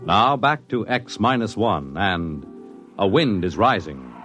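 A man announcing a show in slow, dramatic 1950s radio style. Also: fairly low pitch, very animated, loud music behind the voice. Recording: dull vintage radio recording.

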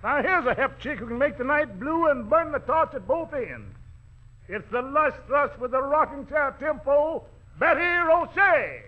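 A man's voice: extremely high pitch, lively intonation, a little slow. A man hosting a 1940s radio variety show as an exuberant, jive-talking master of ceremonies. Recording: noisy muffled old live broadcast. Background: loud theater audience.

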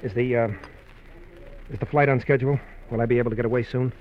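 A man's voice: mid-range voice, fairly even intonation, fast talker, natural voice. An actor playing a nervous, cornered man who hesitates as he speaks, in 1940s radio style. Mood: anxious. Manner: hesitant, uneasy. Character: nervous cornered man trying to get away. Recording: muffled, noisy old radio recording.

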